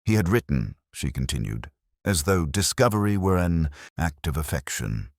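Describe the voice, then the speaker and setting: A man reading scripted first-person mystery narration in a measured, literary, brooding manner. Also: very deep voice, very animated, no room sound, clean studio sound.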